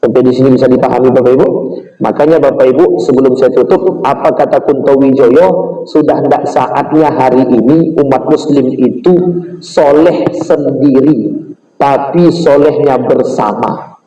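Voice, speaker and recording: A man's voice: mid-range voice, normal speed, dark tone, lo-fi sound. A man preaching an Islamic sermon, emphatic and often putting questions directly to his listeners. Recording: roomy echo, distant from mic.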